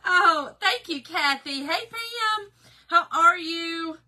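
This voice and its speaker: A middle-aged woman from Georgia with a Southern accent hosts a live craft stream, greeting viewers in a folksy, chatty way. Her voice sits high and sounds very bright and forceful. It carries a slight hoarseness. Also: lively intonation, takes it slowly. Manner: folksy and chatty, calling viewers "girl" and "y'all". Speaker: craft livestream host.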